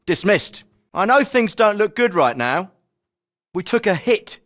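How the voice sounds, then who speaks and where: An actor voicing a calm, authoritative male special forces commander, giving military briefings in a measured, clipped way. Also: high voice, full character voice. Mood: commanding. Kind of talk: video game voice acting. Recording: clean studio voice recording.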